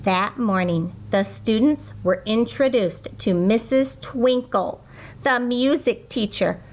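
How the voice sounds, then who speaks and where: A woman reads a picture book aloud for children. Her voice sits on the high side and she takes her time, delivering the story slowly and deliberately with sing-song emphasis. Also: darkish tone. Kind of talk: expressive scripted storybook read-aloud.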